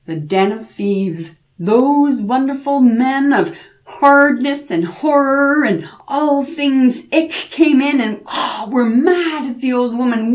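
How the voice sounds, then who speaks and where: A woman with a fairly high voice narrates an old folk tale. She is dramatic and oral in style, stretching key words and using repetition for effect. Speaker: storyteller.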